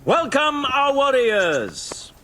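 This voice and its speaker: An actor playing a loud medieval herald who announces fighters in drawn-out, declamatory calls, in a video game. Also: male voice, very high voice, wildly swooping pitch, a little hiss or hum, full character voice. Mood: proclaiming.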